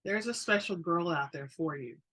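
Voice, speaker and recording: A woman's voice: fairly low pitch, moderate pace, a bit bright, breathy edge. A woman voicing a protective old great-grandmother, doting but snappish, in a dramatic story reading. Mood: soft, consoling.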